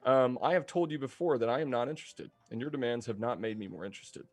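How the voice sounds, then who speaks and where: A man voicing a polite, stiff male robot who cooks ramen, reading his lines in a formal, flat, measured way. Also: medium pitch, soft background music, lightly acted. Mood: firm.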